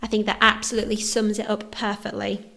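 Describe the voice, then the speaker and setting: A woman hosting a podcast, reading a scripted narration in a soft, measured way. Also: mid-range voice, completely flat delivery, vocal fry. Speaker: podcast host.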